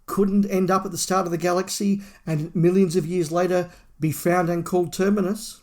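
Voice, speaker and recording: A man's voice: high voice, fairly even intonation, normal speed, a little mellow. A middle-aged man talking at length and with emphasis as a podcast co-host, in a casual review discussion.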